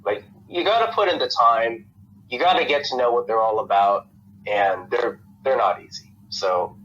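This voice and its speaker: A man's voice: medium pitch, normal speed, a bit bright, highs cut off. A young American man, a podcast guest, talking casually in a rambling way with lots of fillers and restarts. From United States. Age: young adult.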